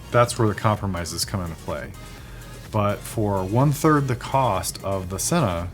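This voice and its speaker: A man giving a casual, unscripted product review, speaking in a measured way with thoughtful, hesitant phrasing. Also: lowish voice. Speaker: product reviewer, video host.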